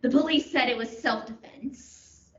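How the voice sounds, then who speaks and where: A roomy, distant recording of a crazed self-confessed killer played by a young woman. The voice is rather high and wildly melodramatic, full of boastful menace in comic radio-play style. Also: a little slow, a little hiss or hum, natural voice. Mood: manic, boastful. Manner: melodramatic, theatrical. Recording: dull, roomy, distant microphone.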